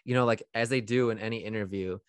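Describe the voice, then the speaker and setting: A man's voice: fairly low pitch, steady tone, fast, clear, resonant tone, dry recording. A young man hosting a podcast, chatting in a laid-back, slangy way full of fillers. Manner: laid-back, slangy, lots of likes and you knows. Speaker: podcast host. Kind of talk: casual conversational interview.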